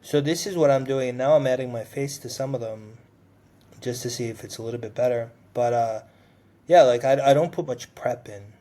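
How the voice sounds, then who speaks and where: A man's voice: medium pitch, fairly quick, dark tone. A man in his forties co-hosting a casual podcast chat, talking emphatically with lots of "like" and some swearing. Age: 40s. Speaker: podcast co-host.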